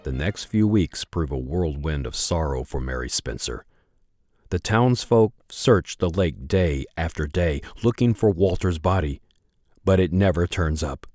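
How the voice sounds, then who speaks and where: A man narrating a period radio drama in a measured way, with dramatic pauses. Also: rumbling low, animated, a little mellow, no room sound. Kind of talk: scripted storybook narration.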